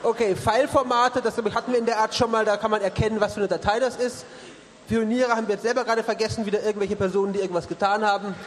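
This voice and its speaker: A man's voice: high-pitched, level tone, quick pace. A man hosting a live stage quiz, joking and bantering with the audience. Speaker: quiz show host. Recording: live hall with crowd and music.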